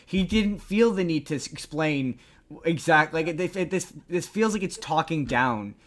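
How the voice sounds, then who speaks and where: A man's voice: fairly high pitch, lively intonation, unhurried. A man co-hosting a podcast, chatting casually and critically about pop culture, sweary and given to mocking imitations. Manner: sweary, opinionated, mimics others mockingly. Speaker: podcast co-host.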